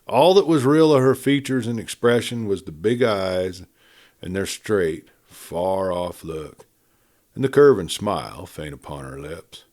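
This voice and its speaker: Expressive man narrating a western tale. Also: deep voice, unhurried. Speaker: audiobook reader. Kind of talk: dramatic western storytelling.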